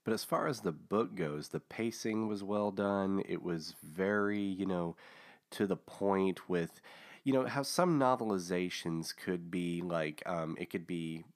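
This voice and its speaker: A man hosting a casual book review, talking with many fillers and restarts, his voice a little hoarse from a recent illness. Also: low voice, measured pace. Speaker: host of a book review show.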